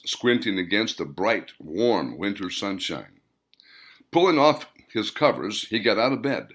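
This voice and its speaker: A man reading his own novel as an audiobook in a deliberate, phrase-by-phrase narration. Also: fairly low pitch, a bit bright. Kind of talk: scripted fiction narration.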